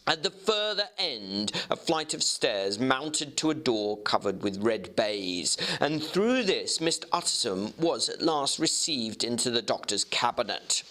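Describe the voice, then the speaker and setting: A man narrating classic fiction in a theatrical, expressive way, as a dramatic reading. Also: medium pitch, a little slow, few pauses.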